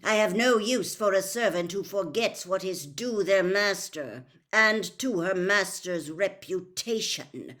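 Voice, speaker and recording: A woman voicing a stern, pitiless male master in a storybook, with a cold, clipped, deliberate delivery. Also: mid-range voice, expressive, fairly bright tone. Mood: cold disdain.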